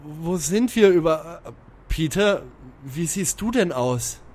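A man's voice: a little high, expressive, takes it slowly, darkish tone. A man playing a confused, grumbling young detective in a parody audio drama. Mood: groggy, puzzled.

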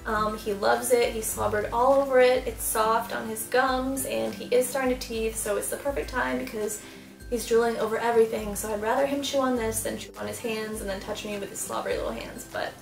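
A woman's voice: a little high, steady tone, a little fast, gravelly, echoey room, recorded from a distance, faint music behind. A young woman, a mom vlogger, gushing casually about baby clothes while sitting indoors in front of a camera. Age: young adult. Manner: bubbly, gushing, lots of "super" and "like". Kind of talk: casual product haul. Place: small room indoors, seated before louvered doors.

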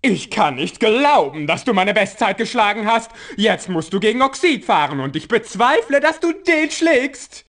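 A man's voice: high-pitched, very animated, moderate pace, hardly any pauses, a bit bright, exaggerated voice. An actor playing a pompous, gloating mad-scientist villain in a video game, theatrical and drawing out his words. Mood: grudging, pompous.

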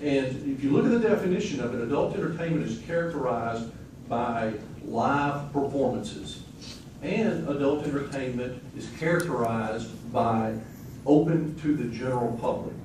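A man speaks from a podium, laying out zoning rules in a measured, procedural way. He is heard from far off in a large echoey room, with noise around him. The sound comes from a phone in the audience. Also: mid-range voice. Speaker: official at the podium. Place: large community meeting room, indoors. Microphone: phone camera in the audience. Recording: echoey hall, distant phone mic.

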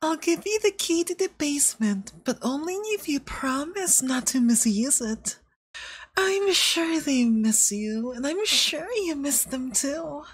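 A woman voicing a possessive, manipulative young femboy yandere boyfriend in ASMR roleplay, soft, breathy and cloying, coaxing with veiled menace. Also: high voice, expressive, measured pace. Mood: sweetly manipulative.

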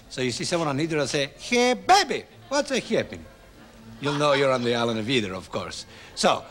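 A man's voice: fairly high pitch, a bit bright. An actor playing a jovial Greek male instructor on a morning TV show, speaking with a put-on Greek accent and a beaming, sing-song manner in a 1980s sketch comedy. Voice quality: beaming, sing-song, leans hard on catchphrases.

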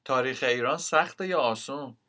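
Fast, bright-toned voice asking lesson questions. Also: medium pitch. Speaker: dialogue speaker in a language lesson. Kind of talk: scripted dialogue questions.

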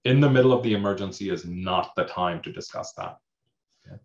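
A man's voice: low voice, fairly quick. A man whose first language is Hindi, speaking as an emergency management panelist on a video call, explaining with many fillers and checking "okay?". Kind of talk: panel discussion. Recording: roomy, dull video call audio.

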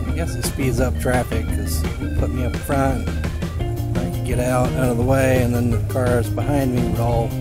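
A man vlogging casually as he rides a motorcycle, thinking aloud in an unhurried, off-the-cuff way into a helmet mic. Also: medium pitch, little pitch movement. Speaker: motovlogger. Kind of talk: casual rambling chat while riding a motorcycle. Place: outdoors, riding a motorcycle in road traffic. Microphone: microphone inside a helmet. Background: music.

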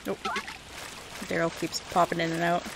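A woman's voice: lowish voice, completely flat delivery, moderate pace, gravelly, background noise. A woman giving casual, chatty gameplay commentary as host, often talking aloud to the game's characters. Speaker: gaming video host.

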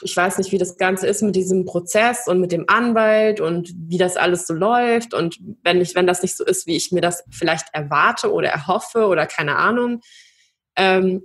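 A young woman with a slightly low voice speaks slowly and reflectively. She pauses often and fills the gaps with hesitant sounds as she thinks through each sentence. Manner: thoughtful, many hesitations and fillers. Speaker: podcast guest. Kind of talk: personal interview.

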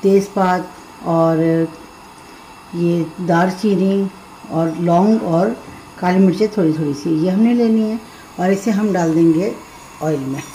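Woman, middle-aged, soft dark tone, chatty recipe instruction, echoey room, slight background noise. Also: on the low side, takes it slowly, long pauses. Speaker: home cooking show host. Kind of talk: casual recipe demonstration. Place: home kitchen, indoors. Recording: roomy kitchen echo, background noise.

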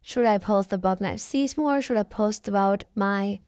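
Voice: mid-range voice, normal speed, a little mellow, dry recording. A young-sounding voice run through a voice changer, chatting casually in a rambling vlog. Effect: voice changer filter. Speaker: content creator.

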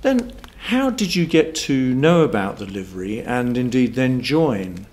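A man's voice: mid-range voice, very animated, a little slow, a little mellow, a little hiss or hum. A middle-aged man putting formal, prepared questions as an interviewer. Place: wood-panelled room, indoors.

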